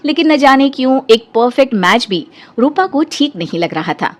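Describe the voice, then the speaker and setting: A woman's voice: sits high, very animated, normal speed, background noise. A woman narrating a scripted children's story.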